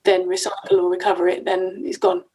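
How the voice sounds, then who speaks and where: A woman's voice: on the low side, little pitch movement, a little fast, a little mellow, husky. A woman interviewing guests in a casual, conversational way, with informal and somewhat hesitant phrasing. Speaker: podcast host, interviewer.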